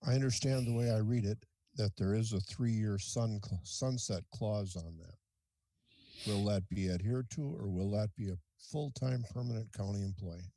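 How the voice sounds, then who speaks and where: A man's voice: on the low side, moderate pace, warm tone, no echo. A man on a county board asking questions at a public meeting.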